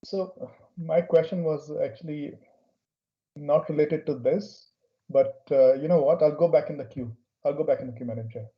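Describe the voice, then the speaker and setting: Higher-voiced, apologetic man trustee. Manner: apologetic and hurried. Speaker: school board trustee. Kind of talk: formal board meeting. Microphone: video call. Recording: lo-fi video call audio.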